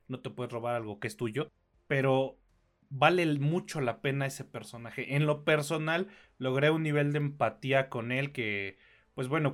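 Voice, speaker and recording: A man's voice: mid-range voice, fairly bright tone. A man with a Mexican accent chatting casually as he reviews films on a podcast, talking discursively with explanatory asides. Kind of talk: casual film review chat.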